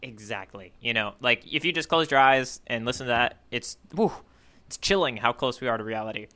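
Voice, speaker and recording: A man co-hosting a podcast, casually recapping a story in a halting way with frequent "you know" asides. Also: medium pitch, expressive, bright, forceful tone. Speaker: podcast co-host recapping a manga chapter.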